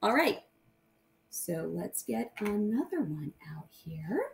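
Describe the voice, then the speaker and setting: A woman's voice: mid-range voice, very animated, slow pace, creaky. A woman teacher explaining things informally to young children, often checking in with short tag questions. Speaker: primary math teacher.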